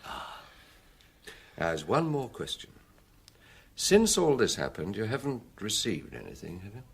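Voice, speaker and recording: An actor playing a shrewd, genial police chief in a British radio crime drama, brisk, commanding and probing. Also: male voice, on the low side, huge pitch swings, creaky, breathy edge, exaggerated voice. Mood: quietly probing.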